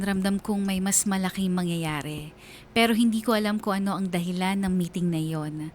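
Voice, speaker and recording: A woman delivers dramatic scripted narration for radio. Her voice is medium in pitch and earnest, with emotive phrasing and faint background noise. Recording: faint noise and ambience behind.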